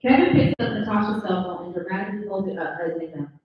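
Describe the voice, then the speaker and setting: Lady reading script directions, mid pitch, really echoey room, bit far from mic. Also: racing, muffled recording. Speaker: narrator reading stage directions. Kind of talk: scripted narration at a table read. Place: live in-person event room.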